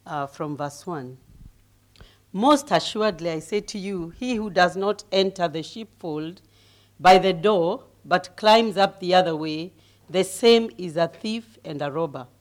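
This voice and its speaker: A middle-aged woman from Kenya with a deep voice, preaching as a guest speaker, humble and given to laughing, growing loud and emphatic as she reads scripture. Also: unhurried. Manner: grows loud and emphatic when reading scripture. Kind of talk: sermon.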